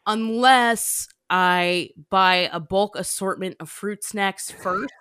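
A young woman hosts a podcast in an informal, conversational style. She explains things playfully and drops in slang, with a mid-pitched voice and a very dry sound. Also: slow, fairly bright tone, clear, resonant tone, no room sound. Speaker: podcast host. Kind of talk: casual explanatory podcast chat.

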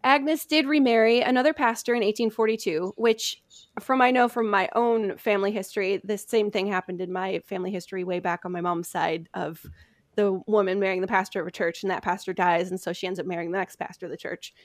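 A woman's voice: medium pitch, fairly even intonation, brisk, no echo, clean studio sound. An American woman hosting a conversational history story, telling it from notes.

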